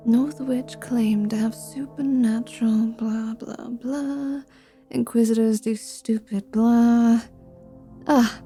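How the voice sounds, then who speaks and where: A woman's voice: fairly high pitch, mellow. A woman voicing a flirty, sardonic, clever vampire rogue in an ASMR fantasy roleplay, with a teasing, impatient, sweary manner. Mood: focused, exasperated.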